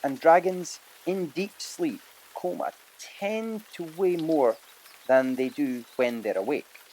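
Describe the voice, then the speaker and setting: A man narrating an audiobook in a dramatic storytelling manner, pausing for emphasis. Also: a little high, expressive, darkish tone, a little hiss or hum, poor audio.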